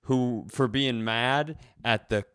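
A man's voice: mid-range voice, slow pace, a bit bright, clear, resonant tone, no room sound. Exasperated man bantering on a dry mic. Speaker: comedy podcast co-host. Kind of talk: casual comedic banter.

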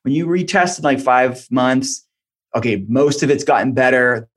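A man's voice: medium pitch, normal speed. Man explaining in casual conversational style. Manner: informal, explanatory, peppered with "like". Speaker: functional medicine doctor, podcast guest. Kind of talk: conversational podcast interview, explaining.

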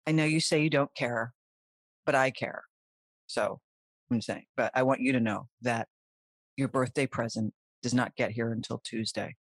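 A woman in her forties chatting casually with a friend and co-worker, telling stories in an animated way. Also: a bass voice, moderate pace, dry recording. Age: 40s. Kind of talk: casual chat.

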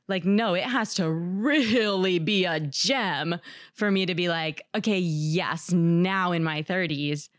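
A woman's voice: on the low side, slow, dry recording. A woman in her thirties, a podcast guest, talking candidly and reflectively, laughing easily. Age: 30s. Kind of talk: casual interview talk.

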